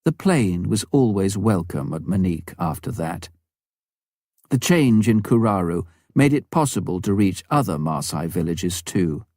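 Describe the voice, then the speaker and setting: A man reading a missionary story as an audiobook narrator, with a measured storytelling delivery. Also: deep voice, big pitch swings, a little mellow, no room sound, studio quality.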